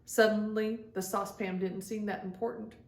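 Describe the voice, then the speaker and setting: A woman tells a story for children in a roomy, echoing space. Her pitch sits in the middle for a woman. She reads expressively, as a storytime host speaking to young kids. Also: little pitch movement, moderate pace. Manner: addresses young children directly, acts out dialogue.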